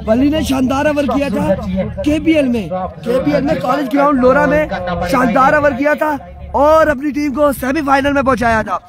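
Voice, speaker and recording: Hoarse high-pitched male cricket commentator. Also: normal speed. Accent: Pakistani Hindko-Urdu. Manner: excitable, chatty, reads out viewer comments. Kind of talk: live tape-ball cricket commentary. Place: outdoor cricket ground. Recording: loud crowd behind.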